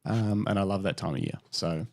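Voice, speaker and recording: A man's voice: low voice, fairly quick, vocal fry, no room sound, studio quality. A man chatting casually as a podcast co-host. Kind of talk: casual chat.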